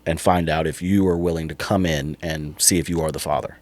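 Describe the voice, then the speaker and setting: A man playing an awkward, apologetic clinic manager on a prank phone call, halting, polite and officious. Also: extremely low pitch, fairly even intonation, a little mellow.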